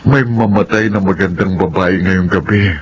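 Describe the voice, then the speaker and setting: A man's voice: deep voice, normal speed, lo-fi sound, lightly acted. A Filipino man playing a restless, lecherous funeral worker in a radio horror drama. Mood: brooding, lustful. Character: restless lecherous male funeral worker craving dead women.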